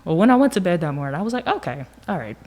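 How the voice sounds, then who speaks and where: A young American woman with a low-pitched voice talks casually about her own experience. Her delivery is colloquial and animated, as if chatting among peers, and she voices her own reactions along the way. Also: very fast. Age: young adult. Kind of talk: casual conversation, personal reflection.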